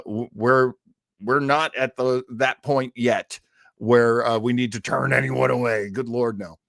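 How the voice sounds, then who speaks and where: A man's voice: mid-range voice, gravelly, a bit bright, no room sound, studio quality. A middle-aged man hosting an old-time radio livestream in a casual, chatty, rambling way, effusive and self-deprecating.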